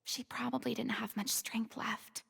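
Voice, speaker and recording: A woman's voice: fairly high pitch, level tone, normal speed, vocal fry, a bit bright, breathy edge, in a whisper, full character voice. A woman voicing a poised, commanding young noblewoman in a video game, speaking in an articulate, theatrical way. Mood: pensive. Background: game music.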